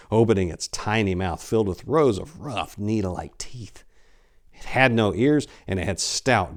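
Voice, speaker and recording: A man in his forties with an American accent speaks as a theatrical storyteller. His pitch moves widely as he goes, giving the delivery an expressive, dramatic shape. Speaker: author reading his own short story. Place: indoor room lined with bookshelves. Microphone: lapel mic.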